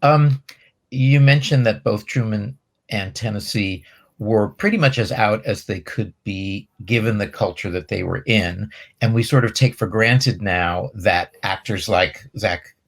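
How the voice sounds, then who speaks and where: A man moderating a question and answer session in a thoughtful, conversational way, phrasing his questions in a measured, halting manner. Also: fairly low pitch. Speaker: film festival Q&A moderator.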